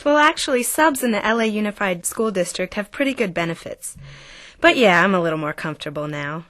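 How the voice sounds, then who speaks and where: A woman's voice: mid-range voice, lively intonation, brisk, fairly bright tone, natural voice. Lady playing a modest author on a radio play interview, agreeable, chatty, no room echo. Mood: pleasant, modest. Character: polite, modest woman actor and author being interviewed. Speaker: actor playing an interview guest. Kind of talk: audio drama interview.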